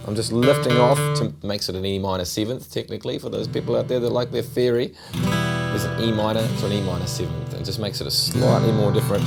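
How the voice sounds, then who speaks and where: Kiwi guy teaching guitar, lowish voice, easygoing chat, acoustic guitar loud behind him. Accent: New Zealand. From New Zealand. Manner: relaxed, chatty, repeats himself while demonstrating. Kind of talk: casual guitar lesson. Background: acoustic guitar playing.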